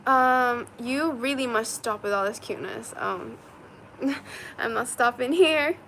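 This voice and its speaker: A woman's voice: fairly high pitch, slow pace, fairly bright tone, a little hiss or hum. A young woman from China chatting casually and rambling, full of "like" and "um", as she answers viewer comments. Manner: rambling, heavy use of "like" and "um". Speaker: streamer.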